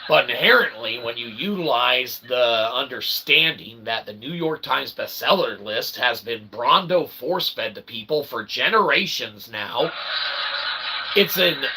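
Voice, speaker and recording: Guy rambling to his vlog, really sharp bright voice, wordy fake-professor talk, some noise behind him. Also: medium pitch, lively intonation, measured pace, poor audio. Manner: pseudo-intellectual, wordy and convoluted phrasing, tags sentences with "okay" and "eh". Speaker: vlogger, self-published author. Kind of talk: rambling comedic monologue.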